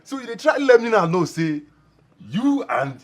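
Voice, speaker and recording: An actor with a Nigerian Pidgin accent playing a young man who teases his friend with excitable exclamations. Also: high-pitched, moderate pace, background noise. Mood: teasing.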